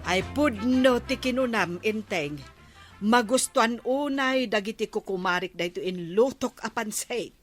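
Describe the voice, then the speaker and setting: A woman's voice: a little high, unhurried, faint music behind, lightly acted. A woman playing a village captain's generous, proud wife in an Ilocano radio comedy drama, with an Ilocano Filipino accent.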